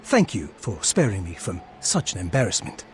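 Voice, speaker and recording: An actor playing a learned, courteous mystic ally in a fantasy game, with a formal, bookish way of speaking. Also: male voice, low-pitched, very animated, normal speed, slight background noise. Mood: wry. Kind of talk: scripted fantasy game dialogue.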